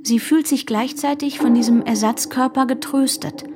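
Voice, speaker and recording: Radio drama: a woman playing a candid young female, slightly high-pitched, over clearly noisy ambience. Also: steady tone, normal speed, lightly acted. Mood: detached, intimate. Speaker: actress.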